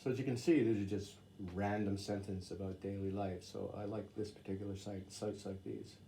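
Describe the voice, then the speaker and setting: A man's voice: on the low side, steady tone, fairly quick, mellow, slight background noise. A man who teaches English in Japan, a native English speaker, talking casually to camera and explaining Japanese sentences with plenty of fillers. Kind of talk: casual talk to camera, reading sentences aloud.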